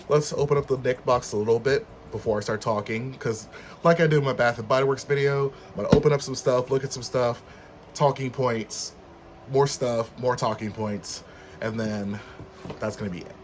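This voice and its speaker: A male vlogger talking casually to his viewers, his voice a little raspy and hoarse. He is chatty and animated, and his medium-pitched voice jumps suddenly higher when he gets excited. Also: a little hiss or hum. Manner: chatty, animated, with sudden high upbeat outbursts. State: slightly hoarse from being under the weather. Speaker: YouTube host. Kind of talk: casual rant vlog.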